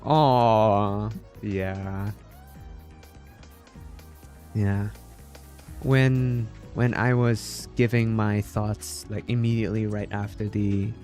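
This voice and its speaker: A man from the Philippines chatting casually on a livestream, halting and repeating his words, polite and appreciative. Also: fairly low pitch, a little mellow. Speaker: game streamer. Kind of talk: casual livestream chat with viewers.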